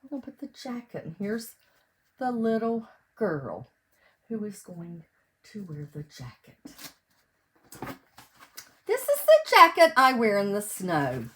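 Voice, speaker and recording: A woman's voice: mid-range voice, wildly swooping pitch, takes it slowly, long pauses, a bit bright. A woman teacher reading a picture book aloud to young children at home, in a sing-song way, explaining simply and asking them questions. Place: indoors at home.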